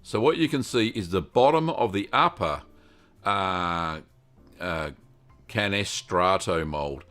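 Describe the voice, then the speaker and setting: Deep-voiced older man. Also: expressive, slow, a bit bright, faint music behind. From Australia. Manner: rambling, friendly, lots of ums. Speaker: host answering cheese-making questions. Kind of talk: live-stream Q&A chat.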